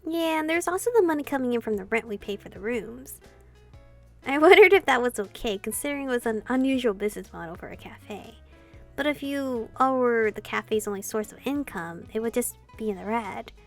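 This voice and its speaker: A woman hosting a gameplay channel, reading dialogue aloud and commenting in an expressive way, free with swearing. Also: on the high side, brisk. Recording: game music behind.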